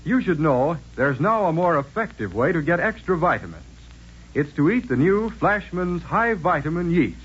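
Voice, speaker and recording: A man's voice: a little high, sing-song, moderate pace, slightly rough. A man with an American accent reading a script as an announcer and narrator in 1940s radio style. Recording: muffled old-time radio recording.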